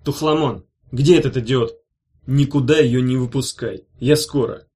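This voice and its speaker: A man's voice: mid-range voice, moderate pace, a little mellow, close to an everyday voice. A man reading an audiobook, voicing a sinister male demon guard who hisses with fury. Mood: hissing. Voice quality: hissing.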